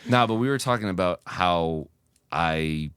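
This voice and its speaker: A man's voice: low-pitched, expressive, unhurried, a bit bright, a little hiss or hum. A young man from New York chatting casually on a podcast, joking often and laughing easily. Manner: laughs often, jokey, puts on voices. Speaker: podcast co-host. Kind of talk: casual chat. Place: restaurant.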